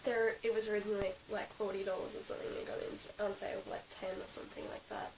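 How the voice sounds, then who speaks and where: A young Australian woman, croaky from being sick, chatting casually in a vlog about her favourite horse gear, giggling often and filling her talk with ums and likes. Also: mid-range voice, level tone, very fast, a crowd in the background. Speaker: equestrian vlogger. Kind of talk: casual show-and-tell vlog. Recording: roomy, slightly distant with hiss.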